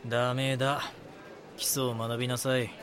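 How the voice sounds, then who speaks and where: A man playing a calm, measured chief editor mentoring a junior in a Japanese voice drama. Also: mid-range voice, a faint crowd, lightly acted. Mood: firm, measured. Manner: instructive, composed.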